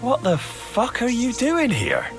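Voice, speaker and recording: A man's voice: mid-range voice, big pitch swings, brisk, music in the background, natural voice. A man playing a hard-nosed young medieval man-at-arms in a video game, blunt, sarcastic and pressing. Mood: scornful, angry. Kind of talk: video game cutscene acting.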